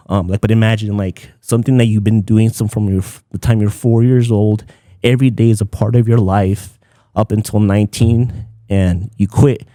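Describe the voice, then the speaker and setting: Man, Spanish speaker, relaxed dark tone, dry close sound, casual podcast storytelling. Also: fairly low pitch, no echo. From Southern California, United States. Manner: informal, peppered with "like" and Spanish phrases. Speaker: podcast guest, content creator.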